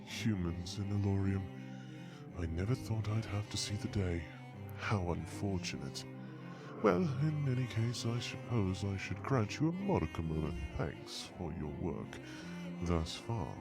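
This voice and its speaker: A haughty male dragon of high rank, acted by a man, looks down on everyone with pompous, mock-polite drawling. The voice is fairly low and very expressive in its rise and fall, with music clearly playing behind it in this audio drama. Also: unhurried, heavily acted. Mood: haughty disdain. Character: haughty, condescending regal male dragon, princess's fiancé. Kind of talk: audio roleplay drama acting.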